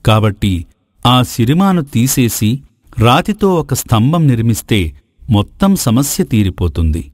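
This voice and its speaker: A man acts out a greedy ascetic of an old storybook kingdom. He speaks at a middling pitch in formal, courtly phrases. The sound is dry, without any room around it. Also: big pitch swings, measured pace, no room sound. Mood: deferential. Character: flattering, greedy male ascetic sage, courtly and self-serving. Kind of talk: dramatised moral folk tale.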